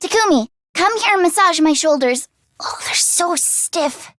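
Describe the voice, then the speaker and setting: A woman voicing an earnest, anxious teenage girl mechanic in an anime-style video game, expressive and shifting between timid and sassy. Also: very high voice, unhurried, no echo, studio quality, heavily acted. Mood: bossy. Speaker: voice actress.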